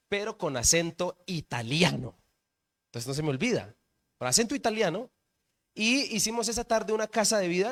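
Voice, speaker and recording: A man, a pastor preaching at a church service, telling a personal story in a conversational way and often asking the congregation questions. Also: on the high side.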